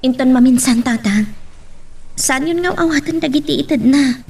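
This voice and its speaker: A woman's voice: high voice, normal speed, darkish tone, husky, recorded from a distance. A woman acting a dutiful, sorrowful grown daughter with an Ilocano accent in an audio drama. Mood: gentle protest.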